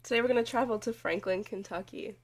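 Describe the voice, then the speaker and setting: A woman's voice: on the high side, fast talker. A woman hosting a casual podcast chat while getting over a cold, scratchy in the throat and rambling with frequent giggles. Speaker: podcast host.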